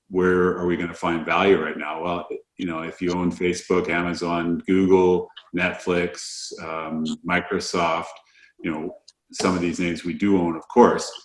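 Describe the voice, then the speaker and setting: A man's voice: low-pitched, echoey room. A man with a Canadian accent giving unscripted, conversational investment commentary in plain words as a portfolio manager on a conference call.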